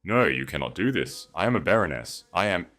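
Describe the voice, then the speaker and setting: A man's voice: deep voice, sing-song, fairly quick, fairly bright tone, full character voice. A man voicing a scheming, haughty baroness who pleads hysterically in an audio story. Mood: hysterical protest.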